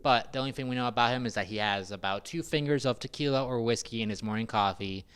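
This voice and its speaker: A man's voice: mid-range voice, quick pace, a bit bright, smooth. A man co-hosting a movie podcast, skeptical and analytical as he argues his point. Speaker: podcast co-host. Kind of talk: casual movie critique chat.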